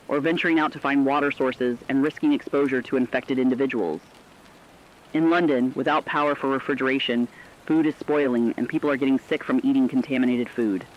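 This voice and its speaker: Guy acting as a troubled radio cohost in a zombie apocalypse drama, solemn, mid pitch, kinda dull lofi audio. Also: lightly acted. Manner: earnest, solemn, reflective. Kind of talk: scripted dramatic radio commentary.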